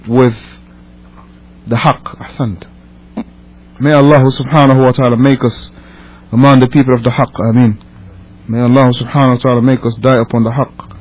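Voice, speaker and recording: A man's voice: medium pitch, darkish tone, rough recording. A man from Senegal, a non-native English speaker, teaches a religious class as an imam, reading and explaining with emphatic repetition and rhetorical questions. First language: not English. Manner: emphatic, repeats and rephrases, asks rhetorical questions.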